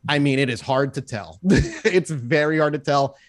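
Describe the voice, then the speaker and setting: A man's voice: mid-range voice, moderate pace, no room sound. A man running a tabletop game as game master, narrating in an improvised, conversational way.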